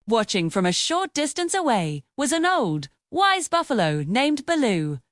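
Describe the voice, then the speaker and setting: A woman reading a scripted children's story as an expressive, dramatic storyteller. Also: sits high, measured pace, dry recording, clean studio sound.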